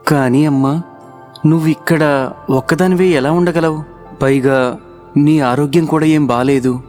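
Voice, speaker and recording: A man playing a hardworking, earnest young village son in an animated children's moral story. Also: on the high side, a little slow, faint music behind, close to an everyday voice. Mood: concerned.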